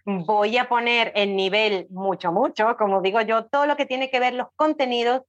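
A woman's voice: fairly high pitch, moderate pace, highs cut off. A woman chatting casually as a podcast guest, emphatic and repeating words for stress. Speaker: podcast guest, audiobook creator and writing teacher. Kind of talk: casual chat.

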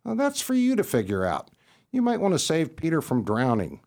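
A man's voice: on the high side, wildly swooping pitch, normal speed, darkish tone. A man playing a frank, friendly, streetwise dog with a folksy manner in a radio play. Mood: frank, advising. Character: streetwise, frank, friendly older-hand dog giving advice.

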